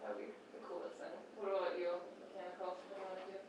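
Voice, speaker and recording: Brief: young woman from Wisconsin, student co-host, casual and relaxed, distant mic with room noise. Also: fairly low pitch, racing, very echoey, highs cut off. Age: young adult. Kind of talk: casual chat.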